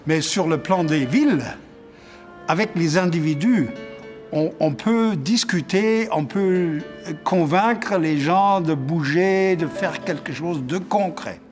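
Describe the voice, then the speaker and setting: A man speaking slowly and deliberately in a documentary interview. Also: on the high side, soft background music.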